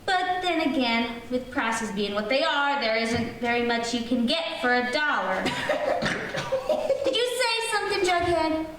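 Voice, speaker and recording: A woman's voice: high voice, big pitch swings, measured pace, fairly bright tone, exaggerated voice. A woman playing a breezy, catty teenage girl, chatty and casually disparaging, in old-time radio comedy style. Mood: catty, amused. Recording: distant, very echoey room with hiss.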